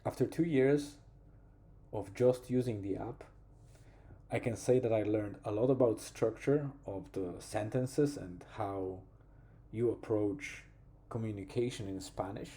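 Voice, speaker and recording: Slow, deep-toned Polish man. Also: dark tone. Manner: slow, thoughtful, pausing to find words. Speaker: vlogger. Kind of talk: reflective personal vlog.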